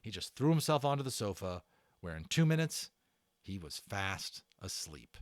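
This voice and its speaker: A man narrating a children's novel in a theatrical way, stressing words and pausing for drama. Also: on the low side, sing-song, no room sound, clean studio sound. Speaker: audiobook narrator and channel host. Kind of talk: dramatic read-aloud storytelling of a children's novel.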